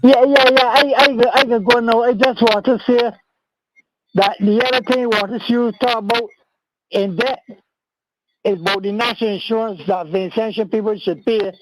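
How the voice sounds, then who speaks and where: A man's voice: extremely high pitch, fairly even intonation, normal speed, very noisy. An older man with a Bajan accent, chatting casually as a caller to a radio show. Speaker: caller, pensioner. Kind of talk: casual phone-in chat.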